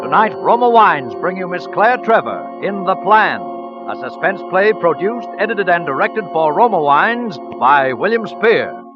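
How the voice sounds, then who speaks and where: Dramatic 40s radio show announcer guy, highish voice, big loud music behind him. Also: big pitch swings, measured pace, highs cut off.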